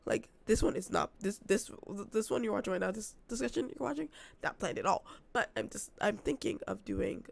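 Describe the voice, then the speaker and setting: A young woman vlogging from her apartment, losing her voice and hoarse, rambling casually with lots of fillers. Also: mid-range voice, lively intonation, brisk. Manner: rambling, filler-heavy, self-described yappy. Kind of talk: casual unscripted vlog chat.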